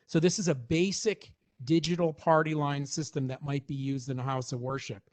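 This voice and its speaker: A man with a slightly high voice gives a product demonstration. He explains things step by step in an informal presenter's manner, sounding like someone talking through a technical overview from loose notes. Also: flat delivery, normal speed, a little mellow.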